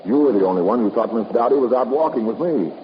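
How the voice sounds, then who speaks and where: An actor with an American accent playing a tough, sardonic investigator in a 1950s radio drama, clipped and accusing. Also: male voice, mid-range voice, lively intonation, fast talker, lightly acted. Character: tough, wisecracking male private investigator. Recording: noisy, muffled 1950s radio recording.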